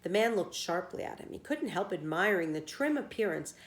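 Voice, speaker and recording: A woman teacher reading a children's story aloud, pausing to explain words plainly for young listeners. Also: lowish voice, lively intonation. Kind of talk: storybook read-aloud with simple asides.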